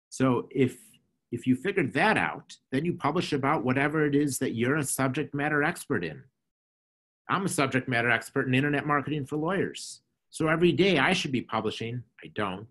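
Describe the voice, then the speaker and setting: A middle-aged man talks with a nasal, somewhat husky voice at an average pitch. He coaches earnestly and often repeats phrases. He is heard through a webcam on a video call. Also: a bit bright. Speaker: interview guest, marketing consultant. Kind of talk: explaining advice in an online talk.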